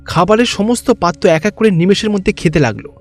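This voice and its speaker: Male storyteller for scripted cartoon tales: fairly high pitch, light music underneath. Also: a little fast. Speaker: narrator. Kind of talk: scripted fairy-tale narration.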